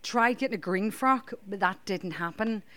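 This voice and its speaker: A woman performer giving an interview, bubbly and excited about the evening. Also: medium pitch, normal speed. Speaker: guest performer. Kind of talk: conversational interview answers.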